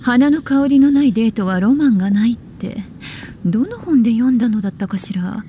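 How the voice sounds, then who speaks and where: A woman voicing an elegant, teasing witch librarian in a video game, speaking in an unhurried, coquettish way. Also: on the high side, animated, warm tone, a little hiss or hum, natural voice. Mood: teasing, instructive. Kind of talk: Japanese video game voice acting.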